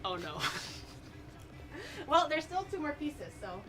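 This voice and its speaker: A woman whose first language is Mandarin, hosting a casual livestream chat in a home kitchen as a lively storyteller who laughs often. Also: high voice, slow, music in the background. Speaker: streamer host.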